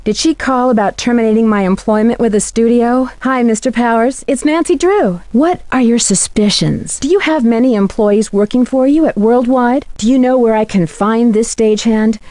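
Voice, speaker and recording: A woman's voice: on the high side, normal speed, few pauses, mellow, no room sound, lightly acted. A woman playing a curious, polite young amateur detective in scripted video game dialogue, earnest and inquisitive in manner.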